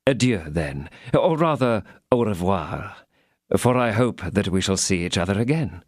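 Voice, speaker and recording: A scheming older cardinal talks in a smooth, measured, falsely fatherly manner, as a man plays him. The voice is lively in pitch and the recording is dry and close. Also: dry recording. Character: scheming, cold-hearted older male cardinal.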